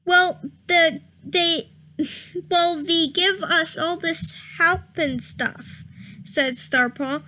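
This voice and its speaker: A woman's voice: very high-pitched, steady tone, extremely slow, bright, forceful tone. A young woman giving a comedic dramatic reading of badly written fan fiction, stumbling over misspellings and breaking into laughter. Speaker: reader.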